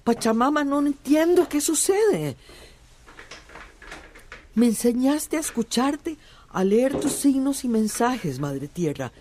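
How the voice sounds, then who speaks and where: A woman's voice: high-pitched, sing-song, slow pace, long pauses, a little mellow, a little hiss or hum, exaggerated voice. A woman playing an anxious, devout grandmother in a radio drama, exclaiming and questioning. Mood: alarmed, pleading. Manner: exclaiming, questioning, muttering to herself. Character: devout grandmother who listens to Mother Earth, anxious.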